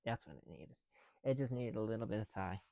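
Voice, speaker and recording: A man chatting casually in an ASMR style as a video creator, muttering softly and sometimes dropping into a whisper, with mild swearing. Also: lowish voice, expressive, brisk, gravelly, heavy background noise. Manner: casual, mildly profane. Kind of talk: ASMR, casual chat while building with bricks.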